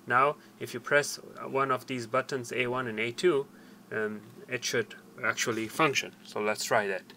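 An older man explaining a technical procedure step by step in a halting, deliberate way. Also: mid-range voice, pushed and bright, a little hiss or hum. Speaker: tutorial presenter. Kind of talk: hands-on technical tutorial, explanatory. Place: small cluttered indoor workshop.

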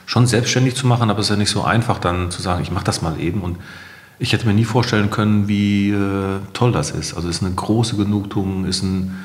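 A man's voice: lowish voice, steady tone, a little fast. A middle-aged man answering interview questions conversationally, as a company co-founder and guest. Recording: roomy echo.

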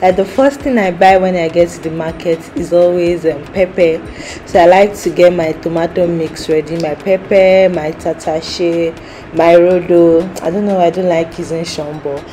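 A woman's voice: fairly low pitch, fairly even intonation, moderate pace, mellow, a little hiss or hum. A young Nigerian woman, a native Yoruba speaker, chatting casually to her viewers as a food market vlogger. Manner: chatty, addresses viewers directly. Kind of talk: casual vlog narration.

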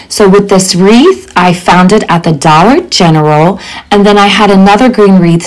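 Woman narrating a home tour, lowish voice. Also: rough recording. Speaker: home decor vlogger.

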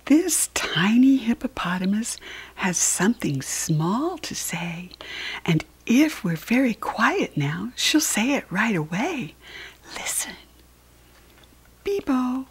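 Breathy American woman reading slowly to children. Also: mid-range voice. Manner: expressive, addressing small children. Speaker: children's book reader. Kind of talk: read-aloud storytelling for young children.

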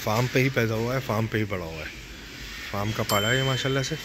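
A man's voice: fairly low pitch, quick pace, very noisy. A man with a Pakistani accent casually narrating a farm tour as a vlogger, explaining things simply with some repetition.

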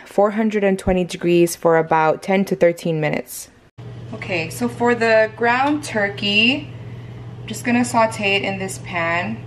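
A woman chatting through her weekly meal prep, explaining each step to viewers as she cooks in her home kitchen. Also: mid-range voice. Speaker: cooking vlogger. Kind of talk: casual meal prep narration.

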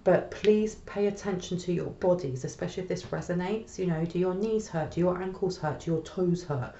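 A woman's voice: lowish voice, a little fast, warm tone. A woman with a slight cold, crackly voice, giving a collective tarot reading, often checking in with "okay?". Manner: soothing, repeats "okay?" often. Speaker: tarot reader. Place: small room at a table. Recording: roomy, slightly dull home recording.

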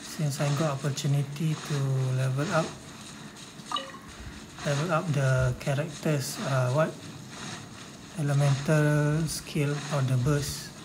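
A gamer giving casual, halting walkthrough commentary, often repeating words. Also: mid-range voice, steady tone, noisy background. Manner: halting, repetitive phrasing. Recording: voice under loud game music.

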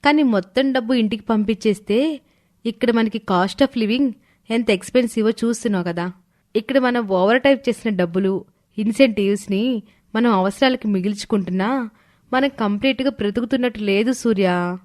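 Woman playing young working wife, higher-pitched. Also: normal speed, darkish tone, natural voice. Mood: worried. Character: young working wife and her chummy office friend. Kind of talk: animated moral story dialogue.

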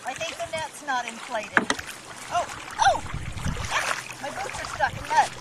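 A woman calls out in a very high, animated voice as she works in a river. Her casual, effortful exclamations reach a distant camera through loud outdoor noise. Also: a little slow. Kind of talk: casual exclaiming during an activity. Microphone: distant camera on the shore.

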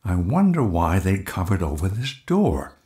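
A man's voice: low voice, big pitch swings, normal speed, mellow. A man acting in an audio drama as a cautious, fretful companion, hesitant and fussing. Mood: puzzled.

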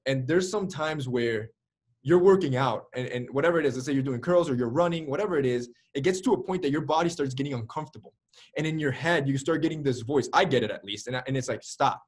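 A young man in his early twenties with a slightly husky voice tells his story on a video call. He talks with lively energy, waving his hands, and fills his speech with "like" and "right?". The sound comes through a webcam in a plain indoor room. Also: medium pitch, fast talker. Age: early 20s. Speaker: interview guest. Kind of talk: candid storytelling.

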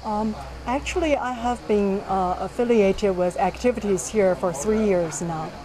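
A middle-aged woman physics professor being interviewed, speaking in a measured, explanatory way with frequent fillers. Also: medium pitch. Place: indoors by a whiteboard. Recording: noisy background.

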